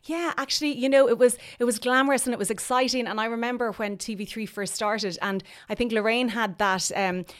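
A woman's voice: fairly high pitch, fairly even intonation, fairly quick, hardly any pauses. Woman, podcast host, upbeat conversational interviewer. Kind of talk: casual chat.

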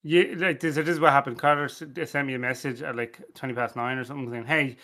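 A man with a medium-pitched voice chats informally on a panel. He is blunt and jokey, circling back over the same phrases. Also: fairly even intonation, quick pace, bright, forceful tone. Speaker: podcast panelist. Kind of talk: casual chat.

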